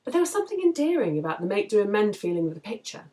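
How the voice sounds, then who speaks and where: A woman reading her own novel aloud in an expressive storytelling style, livelier when characters speak. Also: medium pitch, very fast.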